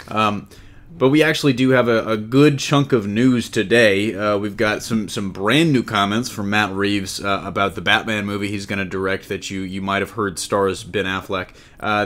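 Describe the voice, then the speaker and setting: Low-voiced man hosting a casual podcast. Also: a bit bright. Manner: joking, chatty banter. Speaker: podcast host. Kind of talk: casual podcast chat about comic-book film news.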